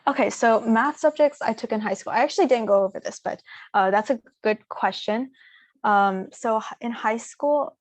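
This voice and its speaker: A young woman talks through audience questions in a loose, easygoing way, often pausing on "um" and "like." Her voice sits in a middle pitch. The sound is close and dry, with no room echo. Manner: relaxed and informal, many fillers like "um" and "like". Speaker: student speaker answering audience questions. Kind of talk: casual Q&A seminar.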